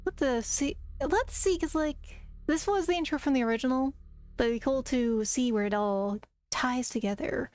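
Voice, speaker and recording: A woman's voice: high-pitched, normal speed, a little mellow. A woman streamer chatting casually to her viewers in a rambling, talkative way. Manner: rambling, chatty, talks to chat. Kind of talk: casual live-stream chat.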